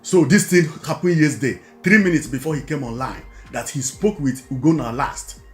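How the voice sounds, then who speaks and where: A man's voice: mid-range voice, moderate pace, soft background music. A man with a Nigerian accent giving informal political commentary, repeating points emphatically and asking rhetorical questions. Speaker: online video commentator.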